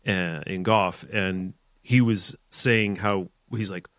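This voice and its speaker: A man's voice: deep voice, fairly even intonation, slow pace, fairly bright tone, dry recording, clean studio sound. A man hosting a real estate podcast, giving emphatic, opinionated advice in a rambling, casual way with side notes. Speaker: real estate team leader and podcast host.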